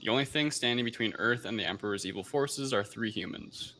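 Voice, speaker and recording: A man's voice: mid-range voice, a little fast, bright, forceful tone, slight background noise. A man hosting a podcast, talking casually through a film review in a somewhat hesitant way. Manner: hesitant, unsure of pronunciations. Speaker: podcast host.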